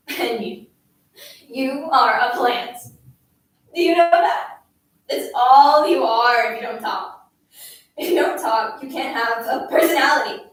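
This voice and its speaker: A woman's voice: on the high side, natural voice. A woman playing a bullying young schoolgirl in a dramatic monologue, scornful, taunting and relentlessly insistent. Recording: echoey room, distant mic, faint noise.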